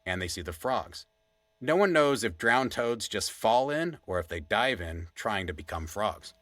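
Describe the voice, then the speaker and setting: A man acts as an earnest, rambling sidekick on a fictional podcast. His voice is lowish but bright and forward, recorded very dry, and he tells his odd facts like a digressive storyteller. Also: lively intonation, unhurried, dry recording. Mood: wistful. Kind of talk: conversational audio drama.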